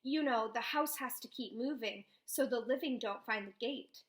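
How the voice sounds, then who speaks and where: A woman voicing a gentle, tired old grandmother in a read-aloud storybook, speaking softly and soothingly. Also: a little high, normal speed, reverberant, natural voice. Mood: resigned.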